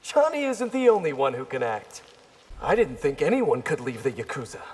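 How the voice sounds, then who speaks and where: An actor playing a brash, questioning male fighter in a video game, with a husky voice. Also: medium pitch, very animated, moderate pace, a little mellow. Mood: incredulous. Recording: long hall reverb.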